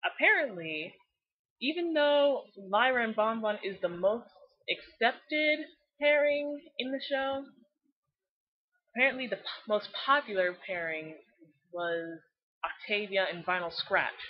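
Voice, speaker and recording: A woman giving scripted but casual fan commentary in a blunt, slangy, opinionated way, with animated delivery. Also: fairly high pitch, measured pace. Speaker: fan video series host. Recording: slightly dull lo-fi recording.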